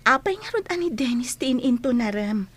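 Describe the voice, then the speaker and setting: Kind high-voiced student, Ilocano accent. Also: female voice, big pitch swings, fairly quick, fairly bright tone, breathy edge, exaggerated voice. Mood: earnest. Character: kind, cheerful young female student, frank and loyal friend. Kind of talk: Ilocano radio drama acting.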